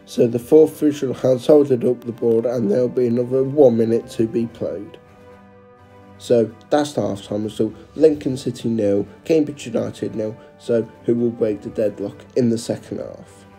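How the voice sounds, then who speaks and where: A man's voice: mid-range voice, fairly even intonation, normal speed, dark tone, faint music behind, rough recording. A man narrating his match vlog in a plain, matter-of-fact voice-over, reading out events and results. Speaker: vlogger.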